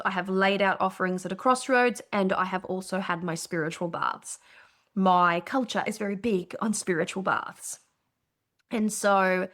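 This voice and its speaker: A woman's voice: mid-range voice, a bit bright. A woman from Brazil co-hosting a podcast, explaining things in an enthusiastic, casual way with the odd swear word. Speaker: podcast co-host.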